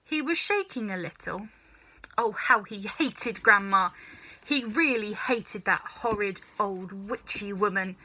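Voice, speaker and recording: A woman reading a children's story aloud in an expressive, dramatic, emphatic way. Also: medium pitch, takes it slowly, rough recording. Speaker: storybook reader.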